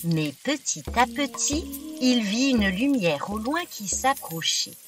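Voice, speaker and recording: A woman reads a scripted fairy tale with a highish, swooping voice. She is expressive and takes her time for young listeners. Music plays clearly behind her. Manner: expressive, deliberate storytelling for small children. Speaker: children's storyteller.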